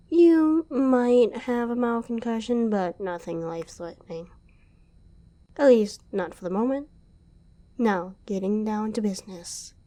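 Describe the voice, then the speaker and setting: Female secret agent, acted by a woman: cool interrogator for ASMR audio drama, higher-pitched yet soft-toned, teasing menace. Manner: controlled, teasing menace with deliberate pauses.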